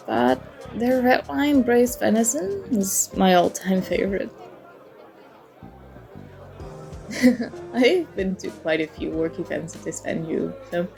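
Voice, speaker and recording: A woman's voice: lowish voice, gravelly. A woman playing a flirty, charming stranger at a party bar in an ASMR roleplay, teasing and coy as she talks. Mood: friendly, chatty. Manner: playful, teasing, coy, mock-apologetic. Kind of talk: ASMR roleplay, acted one-sided conversation. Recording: soft music and party chatter behind. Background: music and crowd murmur of a party bar.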